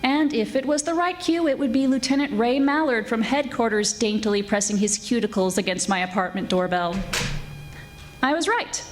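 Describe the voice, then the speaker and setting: A woman's voice: on the high side, moderate pace, natural voice. A woman playing a wry, breezy female private eye who narrates in 1940s radio detective style. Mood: sardonic, unruffled. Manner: wry, breezy, flirtatious deadpan. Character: wisecracking glamorous female private eye, former model. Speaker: radio theatre actor. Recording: live stage recording with music.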